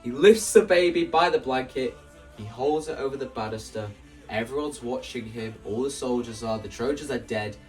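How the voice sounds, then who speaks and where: A young man talking casually and explaining his creative ideas in an animated, theatrical way. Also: mid-range voice, normal speed, recorded from a distance, faint music behind. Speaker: video creator presenting a stage play concept.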